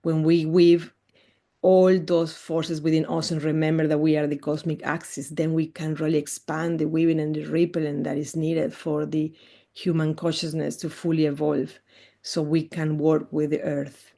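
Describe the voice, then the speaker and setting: Woman from mexico guiding a group call, dark mellow tone, earnest spiritual talk. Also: low voice, level tone, creaky. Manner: slow, earnest, spiritual phrasing. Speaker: expedition guide.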